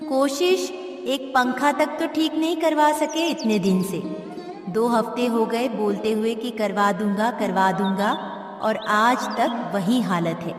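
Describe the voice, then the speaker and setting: A woman acts out a sarcastic village wife from a cartoon, forever scolding and taunting. Her voice is fairly high. It sounds distant and reverberant, with faint music underneath. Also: slow pace. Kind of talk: Hindi cartoon moral story dialogue.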